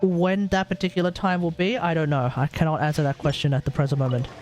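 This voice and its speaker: Higher-voiced man streaming a game, grumbling. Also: flat delivery, fast, darkish tone. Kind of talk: casual live gaming commentary. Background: game music.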